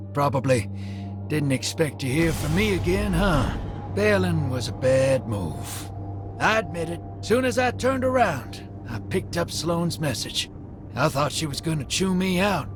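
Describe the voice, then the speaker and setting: An actor playing a gruff, wry gunslinger ally who drawls casual slang. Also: male voice, fairly high pitch. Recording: game voice over loud music.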